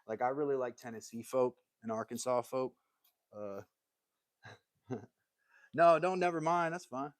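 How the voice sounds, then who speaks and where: A man's voice: medium pitch, measured pace, pauses often, no room sound, clean studio sound. A man hosting a casual woodworking livestream from his workshop, talking in an easygoing, rambling way and answering viewer comments. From United States.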